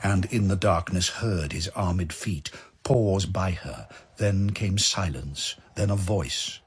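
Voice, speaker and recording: A man narrating a dramatic verse tale in a husky voice, as scripted storytelling. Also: low voice, slow. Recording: music behind the voice. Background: music.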